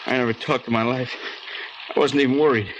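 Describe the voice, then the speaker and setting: An actor playing a surly, cornered armed robber in a 1950s radio crime drama. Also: male voice, medium pitch, steady tone, a little fast, lightly acted. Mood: bitter, sullen. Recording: noisy old radio recording.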